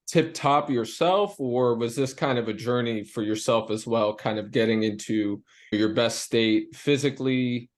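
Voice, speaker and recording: A young man hosting a podcast interview, eager and often stumbling over his words. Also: mid-range voice. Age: young adult. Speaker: podcast host. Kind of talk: casual interview.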